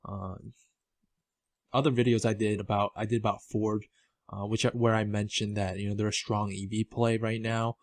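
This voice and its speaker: Low-voiced man with halting delivery. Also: little pitch movement, no room sound. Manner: halting, stammering delivery that repeats words. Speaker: video host, stock commentator. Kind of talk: informal financial commentary over documents on screen.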